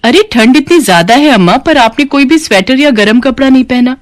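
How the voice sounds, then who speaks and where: A woman's voice: sits high, animated, brisk, voice pushed up. A woman voicing a kind young bride-to-be in a storybook tale, polite and earnest. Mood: caring.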